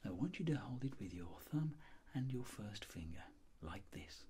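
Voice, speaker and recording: A middle-aged man whispering as a doctor in an ASMR roleplay, giving gentle, polite step-by-step instructions. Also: mid-range voice, very animated, normal speed, husky. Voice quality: soft whisper. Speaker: ASMR performer playing a doctor.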